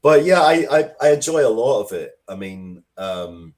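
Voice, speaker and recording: A man speaking casually as an interview guest, thoughtful and halting, often repeating words as he works out his point. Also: mid-range voice, big pitch swings. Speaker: interview guest, writer of Dracula comics and media.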